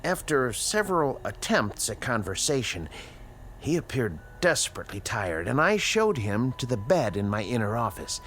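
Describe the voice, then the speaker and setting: An actor playing an educated, uneasy scientist in a radio drama, with precise, formal diction and dramatic pauses. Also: male voice, medium pitch, big pitch swings, fairly bright tone, a little hiss or hum. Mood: clinical, measured. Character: educated, uneasy male scientist, inventor of a time machine. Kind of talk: radio drama acting, dialogue and dictated log narration.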